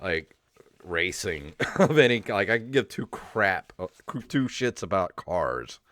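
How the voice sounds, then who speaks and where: A man's voice: low voice, sing-song, takes it slowly, a bit bright, dry recording, clean studio sound. A middle-aged man hosting a comedic podcast, talking casually in a rambling, sweary, mildly exasperated way. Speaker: podcast host. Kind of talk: casual comedic podcast chat and reading aloud. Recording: music playing under the voice. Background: royalty-free synthesizer music.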